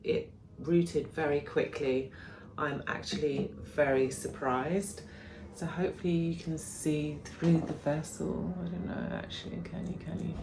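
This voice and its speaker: A woman's voice: low-pitched, steady tone, unhurried, noisy background. A woman with a bit of a cold, chatting in a rambling way as she shows her houseplants in a home vlog. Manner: rambling, chatty, self-correcting. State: stuffy with a cold. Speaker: plant vlogger. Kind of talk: chatty plant care vlog.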